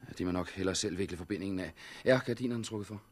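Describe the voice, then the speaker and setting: An actor playing a newly blinded, stoical hospital doctor in a 1960s radio drama, grave and restrained. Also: male voice, low-pitched. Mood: controlled.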